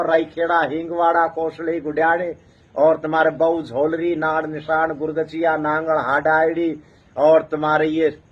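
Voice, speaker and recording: High-pitched, husky rural storyteller man. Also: lo-fi sound. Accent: rural Haryana-Rajasthan. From North India. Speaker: traditional community genealogist and storyteller. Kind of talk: oral history storytelling.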